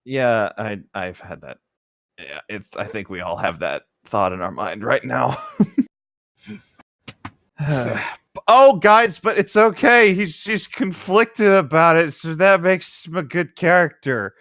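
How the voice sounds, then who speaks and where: Wry man with lively pitch, dry sound. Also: slow pace, no echo. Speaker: co-host of a group read-along show. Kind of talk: casual chat mixed with reading a novel aloud.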